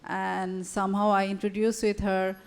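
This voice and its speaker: A woman with a Bangladeshi accent giving an unscripted public talk, hesitant and formally courteous, pausing between phrases. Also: mid-range voice, little pitch movement, clear, resonant tone. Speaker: panel speaker, photographer and activist.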